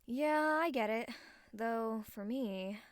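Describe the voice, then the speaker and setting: A woman's voice: a little high, slow pace, no echo, studio quality. A woman voicing a smirking, foul-mouthed young succubus with a brash, teasing manner in an audiobook. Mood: candid.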